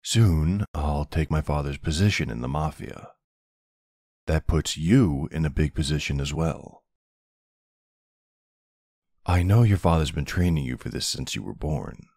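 A man playing a tender but commanding mafia boss husband in an ASMR roleplay, with a low, gravelly, husky voice. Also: unhurried, pauses often, a little mellow, no echo, studio quality, heavily acted. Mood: soft, serious.

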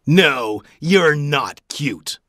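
Low-voiced pirate captain, acted by a woman. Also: lively intonation, drawn-out words, a bit bright, no echo, clean studio sound, full character voice. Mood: teasing. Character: gruff pirate captain, teasing and easily startled. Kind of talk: slow, simple cartoon dialogue for English learners.

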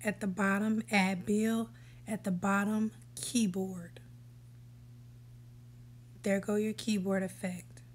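A woman hosting a screen-recorded app tutorial, giving relaxed step-by-step instructions that sometimes drop to a whisper. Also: mid-range voice, slow pace, pauses often. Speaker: tutorial host. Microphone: voice-over for a screen recording.

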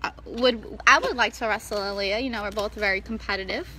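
A woman's voice: medium pitch, fairly quick, sharp tone, a little hiss or hum, low-quality recording. A 21-year-old woman chatting casually and playfully on a phone livestream, reading out viewer comments. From New Jersey, US. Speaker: livestream co-host, wrestler. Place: small indoor room. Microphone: phone camera held close.

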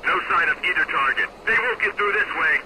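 An actor playing an aggressive armed guard in a dark thriller game, menacing and military in manner. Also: male voice, fairly high pitch, lively intonation, a little fast, slight background noise, low-quality recording. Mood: tense, commanding.

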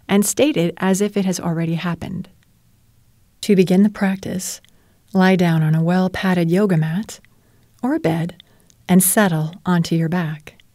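A woman leading a guided relaxation as a meditation teacher, speaking in a calm, soothing and measured way. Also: fairly low pitch, no echo. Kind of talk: guided relaxation narration, yoga nidra.

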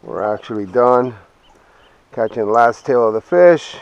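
A man's voice: mid-range voice, animated, unhurried, no echo, lo-fi sound. A man talking casually as he films himself fishing from a small boat. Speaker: angler filming a fishing trip. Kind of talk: casual vlog chat while fishing. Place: small open boat on a waterway, outdoors. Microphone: chest or head action camera worn by speaker. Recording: outdoor action camera, clear background noise.

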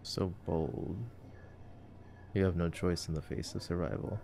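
A man doing an ASMR boyfriend roleplay, murmuring laid-back, casual movie commentary with long pauses. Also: low voice, steady tone, creaky, mellow. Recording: faint background noise.

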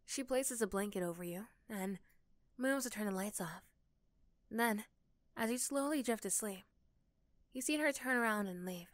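A woman's voice: medium pitch, moderate pace, pauses often, dry recording. A woman reading scripted second-person story narration for an ASMR roleplay.

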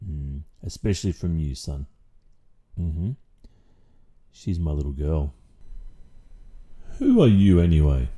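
A stern, protective Australian father, acted by a man in an intimate audio drama. His voice is deep and very dark, with a mellow softness that makes the menace calm. He speaks slowly and deliberately, condescending, leaving long pauses between phrases. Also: full character voice. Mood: gruff, suspicious. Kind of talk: intimate audio roleplay, slow dramatic monologue.

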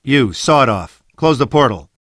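A gruff middle-aged action hero for a video game. He is cocky and curt, with a lively range of pitch and a dry, close sound. His delivery is sardonic and mocking. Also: unhurried, dry recording. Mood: gruff, bossy. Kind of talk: video game cutscene acting.

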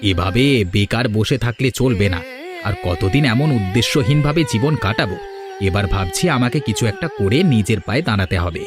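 A man's voice: fairly low pitch, expressive, moderate pace, music in the background, close to an everyday voice. A man playing an earnest, downcast young wastrel brother who pleads for redemption in a moral story cartoon. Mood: determined. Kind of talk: dramatic cartoon dialogue.

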